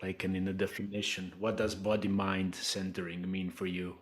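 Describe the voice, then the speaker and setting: A man's voice: low voice, completely flat delivery, normal speed. A man hosting a podcast, asking curious, probing questions of his guest. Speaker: podcast host. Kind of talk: interviewing in a podcast.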